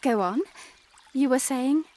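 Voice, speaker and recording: A man voicing a gentle, kind young princess in a soft falsetto, slow and careful. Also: a little hiss or hum. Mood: sweet.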